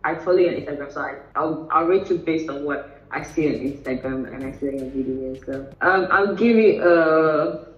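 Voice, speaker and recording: A woman's voice: low-pitched, moderate pace. A nineteen-year-old woman with a Nigerian accent, chatting shyly and giggling over a phone video call from her home, with hesitant fillers. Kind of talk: casual chat. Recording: dull, roomy sound from a distant phone.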